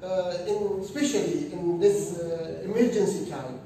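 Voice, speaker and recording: A man's voice: high-pitched, a little slow, mellow, slight background noise. Earnest foreign-man, echoey lobby. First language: Persian. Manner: hesitant, many fillers, earnest. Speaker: international student. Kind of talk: interview answers. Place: seated in a library lobby, indoors. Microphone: far camera. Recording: echoey room, far from mic.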